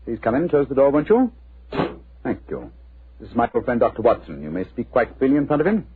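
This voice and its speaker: An actor playing an incisive, imperious British detective, clipped and wry, in a 1940s radio drama. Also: male voice, mid-range voice, sing-song, brisk, husky, dry recording. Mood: courteous. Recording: tinny, lo-fi 1940s radio recording.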